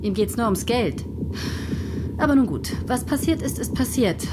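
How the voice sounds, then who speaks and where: A woman's voice: on the high side, expressive, moderate pace, music in the background, natural voice. An actress playing a dry, sarcastic woman who runs a television writing team in a radio drama.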